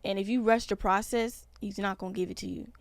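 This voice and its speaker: A young woman in her twenties hosting a podcast, talking in a loose, animated, slangy way. Her voice sits in the middle range and sounds dry and close, with no room around it. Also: mid-range voice, a little fast, no echo. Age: 20s. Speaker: podcast host. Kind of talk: casual podcast chat.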